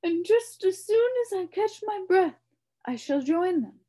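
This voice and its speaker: A woman's voice: very high voice, lively intonation, unhurried, mellow, pitched above the performer's own voice. A woman doing a pompous blustering humbug bug. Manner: grandiose, blustering, theatrical.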